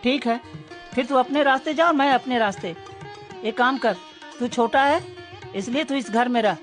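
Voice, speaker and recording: A woman's voice: on the high side, normal speed, soft background music, close to an everyday voice. A woman voicing a crafty, self-serving elder brother in a children's story, brusque and bossy in manner.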